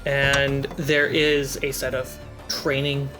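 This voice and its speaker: A game master calmly describing scenes and actions in a tabletop game. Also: medium pitch, over music. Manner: unhurried, descriptive narration.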